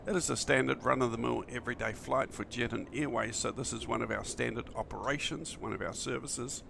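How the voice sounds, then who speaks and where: A man with a croaky, just-woken voice, chatting casually and enthusiastically as a friendly flight simulator streamer who rambles a little. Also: mid-range voice, steady tone, brisk, background noise. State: early-morning croaky voice, still waking up. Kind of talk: casual livestream chat.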